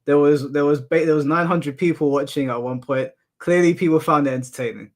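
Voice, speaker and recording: A man from the UK with a monotone voice, casually chatting and answering his livestream chat, laughing off insults. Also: on the high side, brisk. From United Kingdom. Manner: relaxed, laughs off insults, addresses chat as bro. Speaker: streamer. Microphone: headphones in, desk mic.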